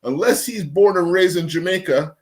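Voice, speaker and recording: A man's voice: high voice, moderate pace. A man in a casual panel debate, talking bluntly and profanely and telling anecdotes.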